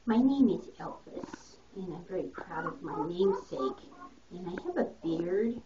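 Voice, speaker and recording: A woman voicing a loud, singing boy puppy. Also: lowish voice, animated, measured pace, far from the mic. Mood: proud. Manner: loud, proud. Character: boy puppy who loves to sing. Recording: muffled, hissy home video.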